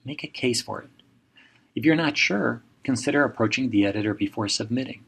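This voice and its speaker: Gravelly middle-aged man. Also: mid-range voice, a bit bright. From Mexico. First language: Spanish. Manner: measured, advising, direct imperatives. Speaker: journal editor and professor. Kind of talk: recorded seminar lecture.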